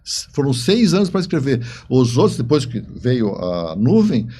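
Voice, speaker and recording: A man, telling stories in an interview in a halting, emphatic way with repeated words. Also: medium pitch, sing-song, warm tone, breathy edge. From southern Brazil. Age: 70s. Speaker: interview guest, writer and engineer. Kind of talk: conversational interview storytelling.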